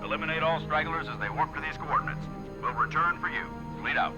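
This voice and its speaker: A man's voice: on the high side, fast. A man playing a curt military fleet officer in a video game, clipped delivery through a radio filter. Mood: curt, businesslike. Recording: dull radio sound over music.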